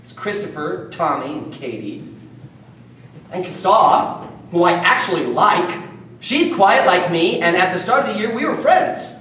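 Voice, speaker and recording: A man giving an animated solo reading of his play as a child narrator. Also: high voice, measured pace, a little hiss or hum. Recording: distant echoey room.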